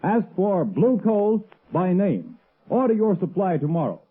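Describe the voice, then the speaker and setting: Male 1930s announcer, high and declamatory sales read, slightly rough voice, thin phone-like band. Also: big pitch swings, a little slow. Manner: declamatory, emphatic. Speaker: announcer reading a sponsor's ad. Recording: tinny old radio recording.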